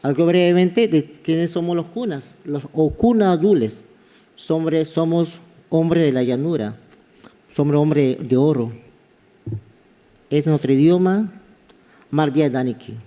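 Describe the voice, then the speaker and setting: A man from Panama with a Kuna accent, an anthropology professor giving a halting conference talk that often repeats words. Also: fairly high pitch, warm tone. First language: Kuna (Guna). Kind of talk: conference presentation. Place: large conference hall. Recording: reverberant conference hall.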